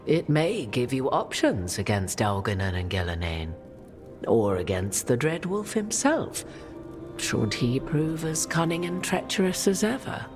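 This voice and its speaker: A worldly-wise witch from a fantasy game, regal and knowing. Her phrases are archaic and eloquent, rising and falling in animated swoops over a bed of music. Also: rumbling low, a little slow, exaggerated voice. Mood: wry, knowing. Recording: music under the voice.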